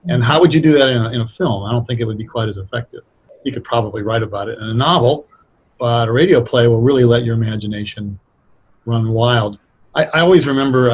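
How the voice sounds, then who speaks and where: A man speaking casually and thoughtfully as a writer being interviewed, explaining things in a halting, anecdotal way. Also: mid-range voice, breathy edge. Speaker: radio drama writer, interview guest.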